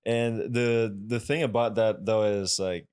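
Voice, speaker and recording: Young male podcast host, lowish pitch, earnest and reflective. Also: fairly even intonation, unhurried, a little mellow, clear, resonant tone. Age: young adult. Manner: earnest, reflective, keeps asking "right?". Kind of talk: casual interview chat.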